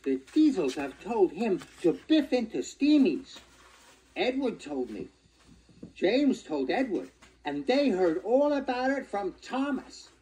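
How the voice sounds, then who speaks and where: A woman's voice: medium pitch, very animated, slow, dark tone, a little hiss or hum, voice pushed up, poor audio. A woman voicing an eager, gossiping little male steam engine in a storybook, peeping and insistent. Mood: warning.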